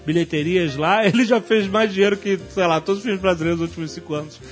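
A Brazilian man co-hosting a podcast, jovial and quick to chuckle, chatting casually and reading emails aloud. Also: sits high, soft background music. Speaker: podcast host.

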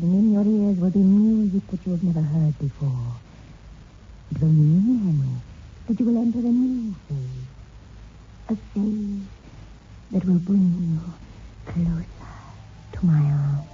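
Actress as slow hypnotic temptress, thin vintage radio. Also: female voice, medium pitch, slight background noise, natural voice. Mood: seductive, mysterious. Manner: slow, coaxing, deliberate. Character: seductive, commanding female hypnotic temptress. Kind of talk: old-time radio horror acting. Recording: muffled, phone-like vintage radio recording.

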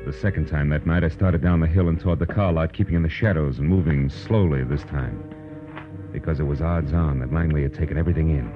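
A man's voice: very deep voice, fast, over music. An American man acting a tough, wry, commanding private detective in 1940s radio drama style, terse and sardonic. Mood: grim narrating. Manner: terse, sardonic, barks orders. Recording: dull, noisy old radio recording.

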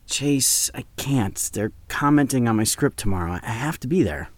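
Actor as young American guy, earnest student film editor, animated pitch, dry close sound, audio drama. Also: moderate pace, dry recording, natural voice. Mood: reluctant, annoyed. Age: early 20s. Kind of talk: casual conversational audio drama acting.

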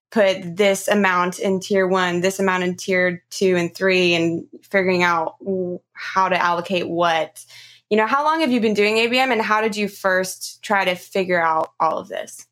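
Woman hosting a casual interview, medium pitch. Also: fairly even intonation, normal speed, a bit bright. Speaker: podcast host.

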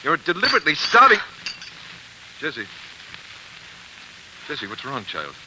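A man's voice: fairly high pitch, huge pitch swings, unhurried. An actor playing a stern, worried, protective father in a 1940s radio drama, with a firm, admonishing manner. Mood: stern, exasperated. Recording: faintly hissy 1940s radio recording.

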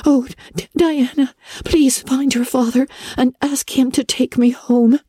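A woman's voice: high voice, fairly even intonation, a little slow, mellow, breathy edge, no echo, voice pushed up. A woman voicing a dramatic, imaginative young girl who talks in a solemn, theatrical way. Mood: pained.

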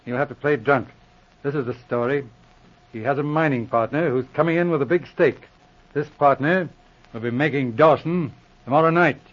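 An actor playing a confident, authoritative Mountie sergeant in a 1940s radio drama. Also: male voice, medium pitch, natural voice. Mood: assured. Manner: authoritative, brisk, assured. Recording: muffled old radio recording with hiss.

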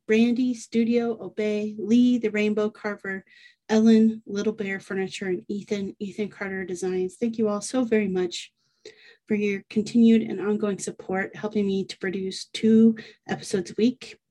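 Female podcast host, warm and mellow, friendly and encouraging with guests. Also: mid-range voice. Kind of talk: podcast interview.

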